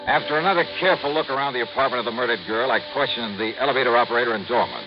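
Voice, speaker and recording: A man's voice: mid-range voice, very fast, over loud music, natural voice. An actor playing a terse, hardboiled male investigator who narrates in clipped lines, in a 1950s radio drama. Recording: muffled old radio broadcast.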